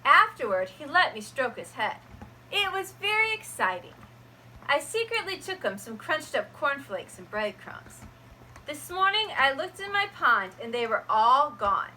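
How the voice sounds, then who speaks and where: A woman teacher reading a picture book aloud to her students, gentle and expressive. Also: sits high, a little slow, slight background noise, poor audio.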